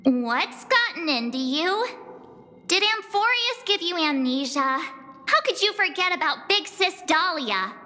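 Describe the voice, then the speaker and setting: A woman playing a perky, excitable young girl in a video game, chatty, exclamatory and teasing. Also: very high voice, a little slow, long reverb tail, heavily acted. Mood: exasperated.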